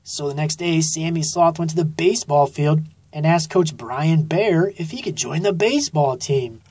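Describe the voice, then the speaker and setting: A woman narrating a children's story expressively and deliberately, drawing out key phrases, recorded in a studio. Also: low-pitched, darkish tone, breathy edge, dry recording. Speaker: author reading own children's book.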